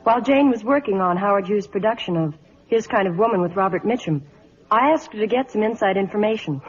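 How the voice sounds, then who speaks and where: A woman's voice: medium pitch, animated, fast. A woman, an actress giving a scripted 1950s radio interview and product endorsement. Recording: muffled, reverberant vintage radio broadcast.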